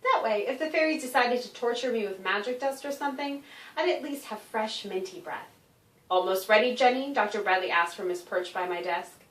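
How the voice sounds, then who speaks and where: A woman author reading a children's story aloud in an expressive, animated narration with dramatic emphasis. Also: a little high, brisk. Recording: roomy echo, distant microphone.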